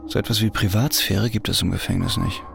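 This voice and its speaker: A brooding convict thinking to himself, super creaky low voice, radio play. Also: male voice, steady tone, fairly quick, over music. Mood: brooding, dry. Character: brooding male prisoner's inner voice. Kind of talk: introspective radio drama monologue.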